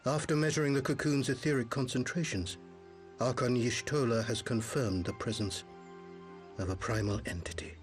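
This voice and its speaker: A dutiful fantasy game officer , acted by a man, with faint music behind him. His mid-pitched voice reports formally and earnestly, in clipped military fashion. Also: moderate pace, lightly acted. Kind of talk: video game cutscene acting.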